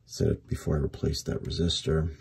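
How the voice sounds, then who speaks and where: A man giving casual hands-on repair commentary as a hobbyist, thinking aloud unhurriedly in short, halting phrases. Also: a bass voice, little pitch movement, creaky, heavy background noise. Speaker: hobbyist radio repairer, video host. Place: indoor workbench.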